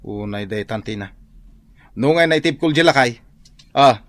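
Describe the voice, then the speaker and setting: A man's voice: mid-range voice, expressive, measured pace, a bit bright, no echo. A man playing a grumbling, gullible old village husband in an Ilocano radio comedy drama. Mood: complaining. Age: older.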